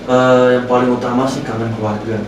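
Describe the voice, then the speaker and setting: A young man from Manado in his twenties talks loosely and hesitantly, filling gaps with fillers as an interview guest. His mid-pitched voice sits back from the mic in a strongly echoing room with steady noise underneath. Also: a little fast, highs cut off. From Manado, Indonesia. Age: 20s. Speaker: actor guest. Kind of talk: casual interview chat. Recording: roomy echo from far off, noise behind.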